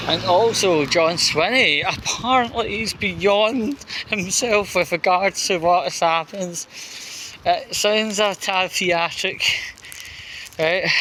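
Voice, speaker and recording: A man giving off-the-cuff political commentary outdoors on a busy street, halting and emphatic, often repeating words. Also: high-pitched, fairly bright tone. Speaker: vlogger. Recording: noisy street ambience. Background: street noise.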